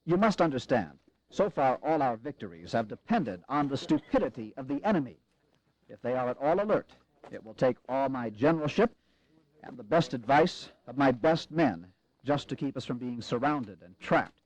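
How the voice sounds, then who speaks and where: Tired general in a history audio drama, measured and grave, kinda wry, very dry close sound. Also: male voice, mid-range voice, big pitch swings, darkish tone, dry recording, poor audio. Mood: resolute. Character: weary, pragmatic male army commander, resolute and wry.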